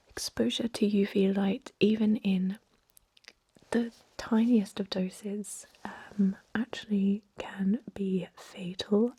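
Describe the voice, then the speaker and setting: A woman voicing a kindly, chatty old vampire medic in a gentle, breathy whisper for an ASMR role-play. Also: mid-range voice. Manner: gentle, rambling, reassuring bedside talk. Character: kindly, chatty, aged female vampire medic, pureblood purist.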